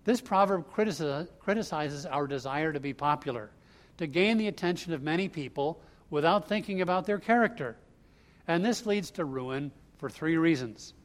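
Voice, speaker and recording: Higher-pitched man preaching a sermon. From US Northeast. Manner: conversational, explains line by line. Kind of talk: expository sermon.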